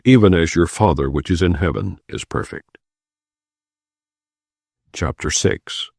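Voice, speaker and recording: A man reads scripture aloud in a measured, solemn cadence, his voice sitting very low. His delivery swings widely in pitch from line to line, rising and falling. The sound is close and completely dry, with no room around it. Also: a little mellow, dry recording. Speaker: Bible reader. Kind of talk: scripted scripture reading, non-dramatized.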